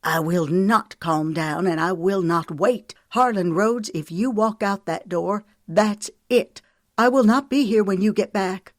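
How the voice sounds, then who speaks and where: A woman's voice: mid-range voice, measured pace, no echo, clean studio sound, voice pushed up, lightly acted. A woman voicing a heartbroken, furious young Southern wife with a Southern US accent, speaking in a raised, impassioned way.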